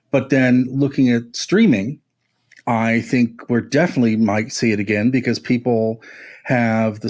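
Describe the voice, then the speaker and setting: A man's voice: mid-range voice, darkish tone. A man co-hosting a podcast, explaining his views on music in a casual, analytical way. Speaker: podcast co-host.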